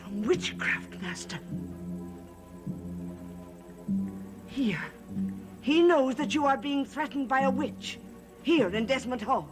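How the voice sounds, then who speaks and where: An actress playing an anxious, devoted female servant in a gothic soap opera, speaking gravely and formally. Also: high-pitched, lively intonation, a little slow. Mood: grave warning. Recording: dramatic music behind the voice.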